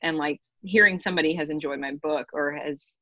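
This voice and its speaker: A woman's voice: low voice, a little fast, pushed and bright, no echo, muffled recording. A woman who hosts a book podcast and writes novels, speaking in a rambling, gushing manner full of fillers. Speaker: podcast host and author. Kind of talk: casual podcast chat.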